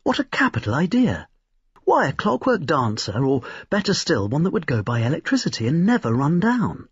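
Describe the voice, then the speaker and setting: A man's voice: fairly high pitch, huge pitch swings, normal speed, breathy edge, pitched above the performer's own voice, full character voice. A man voicing critical, enthusiastic young society women in a storybook reading, in a gossipy, emphatic manner. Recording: roomy, slightly dull recording.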